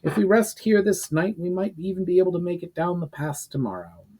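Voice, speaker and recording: A man's voice: high-pitched, a little fast, dark tone, slightly rough, pitched above the performer's own voice. A man voicing a weary, practical aged general in a dramatic fantasy reading. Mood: weary resolve. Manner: weary, reasoning.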